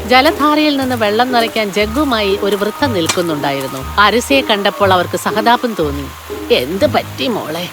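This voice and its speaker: A woman narrates a children's fairy tale in a scripted storytelling style. Her pitch is average but very lively in its movement, and music plays clearly behind her. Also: normal speed.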